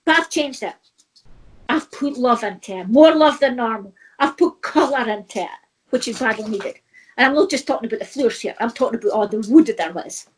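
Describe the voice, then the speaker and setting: A woman's voice: fairly high pitch, animated, fairly quick, breathy edge, low-quality recording. A middle-aged woman, talking in a casual chat, chatty and laughing often, repeating herself. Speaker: co-host.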